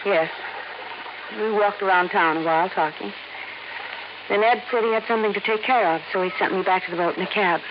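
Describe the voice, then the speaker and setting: An actress plays a young American woman burdened by her past in a vintage radio mystery. She speaks earnestly and hesitantly. The recording is dull and clearly hissy. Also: medium pitch, brisk, pauses often, close to an everyday voice. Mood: subdued, worried. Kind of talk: 1950s radio drama acting.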